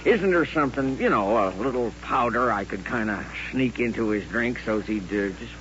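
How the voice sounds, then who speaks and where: An actor playing a sly, folksy saloon keeper with an American Western drawl in an old radio western. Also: male voice, medium pitch, expressive, normal speed, a bit bright, lightly acted. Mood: sly, wheedling. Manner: folksy, joking, wheedling. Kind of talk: 1950s radio western acting. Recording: dull, noisy vintage radio recording.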